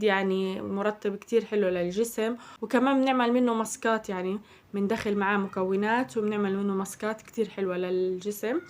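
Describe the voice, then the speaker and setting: A young woman with a Palestinian Arabic accent, chatting casually through a shopping haul, her voice slightly hoarse from a cold. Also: on the high side, slight background noise. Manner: chatty, addresses viewers as girls. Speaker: vlogger.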